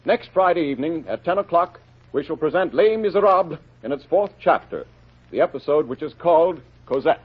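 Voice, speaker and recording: Higher-voiced man, vintage radio announcer. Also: lively intonation, a little fast. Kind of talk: formal 1930s radio announcing, scripted. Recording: dull vintage radio broadcast.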